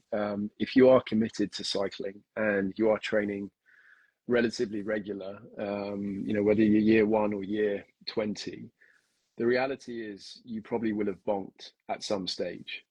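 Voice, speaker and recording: A lower-pitched man from Kenya with a mixed British-Australian accent, explaining at length as a guest coach in a relaxed interview. His voice is a bit husky and very dry, with no room around it. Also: no room sound, poor audio. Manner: explanatory, many ums and restarts. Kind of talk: casual interview.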